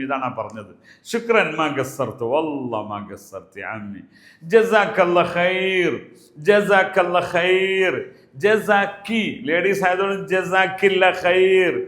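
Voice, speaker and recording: A man with a Malayali accent giving a spoken language lesson as a teacher, explaining and deliberately repeating example phrases. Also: high voice, a bit bright. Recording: echoey room.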